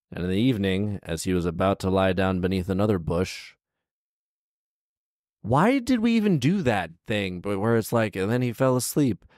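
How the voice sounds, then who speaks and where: Low-voiced man, exasperated comic storyteller. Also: darkish tone, no room sound, studio quality. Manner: incredulous, exasperated, bantering. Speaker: storyteller and host. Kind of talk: reading a fairy tale aloud with casual comic commentary. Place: small living room, indoors. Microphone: handheld microphone held close.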